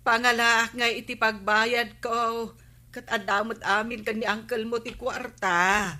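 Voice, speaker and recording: A woman's voice: on the high side, steady tone, measured pace, sharp tone. A woman acting a feisty village woman who fusses over debts, with an Ilocano accent, in a radio comedy-drama. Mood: aggrieved.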